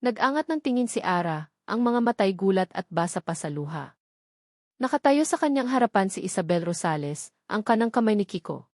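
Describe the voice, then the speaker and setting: A woman reading a scripted love story as narrator in a dramatic, measured manner. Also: mid-range voice, lively intonation, dry recording, clean studio sound.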